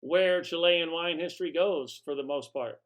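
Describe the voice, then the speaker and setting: A man interviewing his guests, asking halting questions padded with fillers. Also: on the high side, a bit bright.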